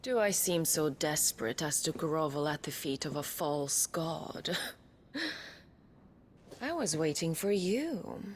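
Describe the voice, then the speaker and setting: A woman's voice: sits low, lively intonation, takes it slowly, a little hiss or hum. An actress playing a proud, scheming noblewoman in a game cutscene, haughty and mocking.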